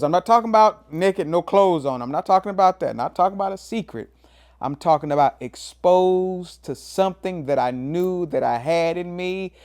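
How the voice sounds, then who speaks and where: A man of fifty, a pastor hosting his own motivational talk, emphatic and preacherly. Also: a little mellow. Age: 50s. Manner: preacherly, emphatic, swings pitch up and down for emphasis, says "man" often. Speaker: pastor and show host.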